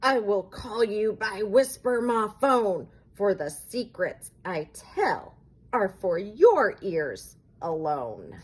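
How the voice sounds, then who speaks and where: Woman reading as a secretive old hermit, slow-spoken. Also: medium pitch, big pitch swings, breathy edge, exaggerated voice. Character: secretive, grudging old male hermit creature in storybook.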